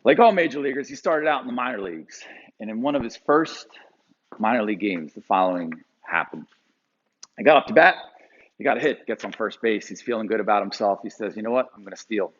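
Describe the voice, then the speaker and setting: A man in his forties from Pennsylvania, telling a personal story to a live audience with animated delivery and frequent fillers. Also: lowish voice, fairly quick, lo-fi sound. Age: 40s.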